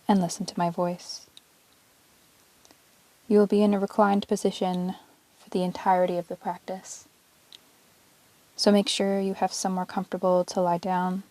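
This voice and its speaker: Slow, soothing woman guiding meditation. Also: medium pitch, no room sound, low-quality recording. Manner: calm, soothing, measured with long pauses. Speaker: yoga teacher guiding a meditation. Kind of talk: guided yoga nidra meditation, relaxation narration.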